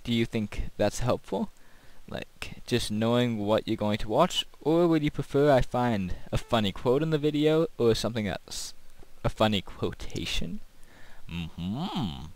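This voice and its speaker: A man's voice: mid-range voice, animated, measured pace, a little mellow. A man giving casual gameplay commentary as a video host, rambling and thinking aloud.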